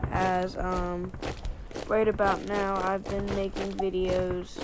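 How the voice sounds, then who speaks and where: A woman giving a rambling gameplay commentary voice-over, slightly hoarse from a winter cold and full of fillers and restarts. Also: mid-range voice, monotone, slow pace, background noise. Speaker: gameplay video creator.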